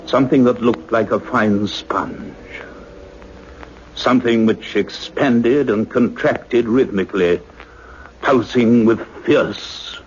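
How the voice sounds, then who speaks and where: Male, grave, intense doctor of science in radio drama; lowish pitch, muffled lo-fi recording with faint music. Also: slight background noise. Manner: deliberate, intense, dramatic phrasing. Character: earnest male scientist, doctor, excited yet grave and cautious. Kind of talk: radio play acting and dramatic narration.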